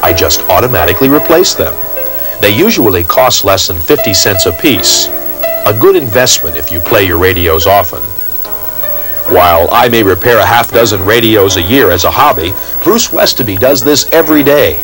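A man's voice: lowish voice, animated, moderate pace, a bit bright, faint music behind, poor audio. A man presenting a hobby show in scripted narration, on a dated 1980s TV soundtrack. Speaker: presenter and radio restoration hobbyist.

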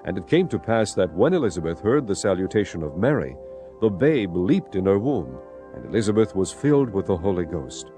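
A man's voice: deep voice, big pitch swings, fairly quick, dark tone. A man narrating scripture dramatically, in a solemn storytelling manner. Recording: music behind the voice.

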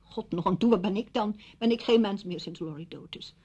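A woman's voice: mid-range voice, a little fast, mellow, slightly rough, natural voice. An actress in a Dutch radio play, playing a wounded, bitter widow who speaks curtly and sarcastically to her friend. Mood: bitter, hurt. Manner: curt, sarcastic. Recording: dull, muffled radio recording.

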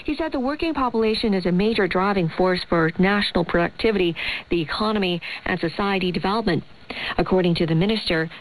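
Woman reading news on shortwave radio. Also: fairly low pitch. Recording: noisy shortwave radio broadcast.

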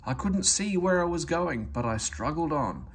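A man's voice: fairly high pitch, expressive, moderate pace, breathy edge. A man voicing a cheeky, conceited young tank engine in a children's storybook. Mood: boastful. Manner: boastful, plaintive when in trouble.

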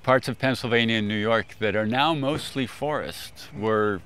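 An older man explaining things informally in a measured way, as an expert guest. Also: lowish voice, a bit bright, smooth. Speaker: expert guest, agricultural scientist. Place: outdoors on a lawn beside a food truck.

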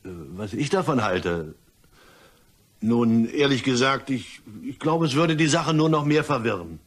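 A man acting in a German crime radio play as an evasive, rattled French gentleman, husky, stammering and hedging politely. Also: mid-range voice, lively intonation, measured pace. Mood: nervously evasive.

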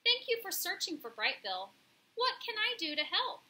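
A woman's voice: very high voice, very animated, sharp tone, pitched above the performer's own voice, full character voice. A woman voicing a polite, earnest female robot mother in a storybook, speaking with formal, carefully worded phrasing. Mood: grateful. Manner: formal, measured wording without contractions.

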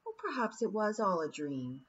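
A woman playing a cold, scheming fairy queen who talks slowly and mockingly. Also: mid-range voice, big pitch swings, husky, voice pushed up. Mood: menacing. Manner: slow, hissing, mocking. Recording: dull lo-fi recording.

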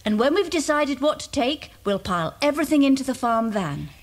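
A woman's voice: sits high, very animated, fairly quick. A woman playing a kind, sensible mother with an English accent in a children's audio drama. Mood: helpful, organising.

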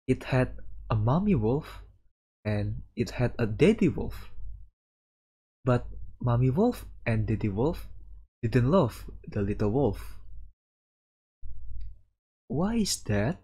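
A man streaming a visual novel playthrough, reading the text aloud with dramatic pauses and his own reactions. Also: mid-range voice, breathy edge.

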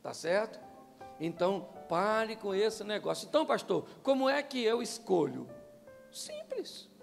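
A man's voice: high-pitched, a little slow, creaky. An older man with a Brazilian Portuguese accent, preaching a humorous talk to couples on a church stage with a handheld mic. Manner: joking, animated, asks the crowd questions. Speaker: pastor. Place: church stage, large hall. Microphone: handheld microphone. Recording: live church hall with long reverb and faint music.

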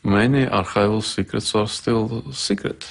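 Hesitant, creaky, low-voiced man in an interview. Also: unhurried, soft background music, poor audio. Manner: hesitant, searching for words. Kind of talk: halting spoken interview.

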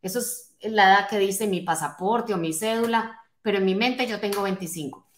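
A woman's voice: mid-range voice, moderate pace, slight background noise. A woman of forty-nine giving an emphatic motivational talk as a coach, addressing listeners directly with rhetorical questions and check-in tags. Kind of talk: motivational talk to an online live audience. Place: small home office, indoors. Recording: roomy, echoing indoor sound.

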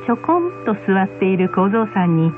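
Female narrator for a radio folk tale, measured scripted read, dull recording over clear music. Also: mid-range voice, big pitch swings. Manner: measured storytelling.

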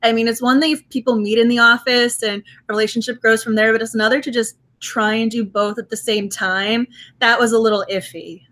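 A woman's voice: on the high side, steady tone, fairly quick, fairly bright tone. A young woman hosting a book club over a video call from home, enthusiastic and opinionated, her voice a little strained from overuse. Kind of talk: casual video call chat. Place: home room with bookshelves. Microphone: webcam on video call.

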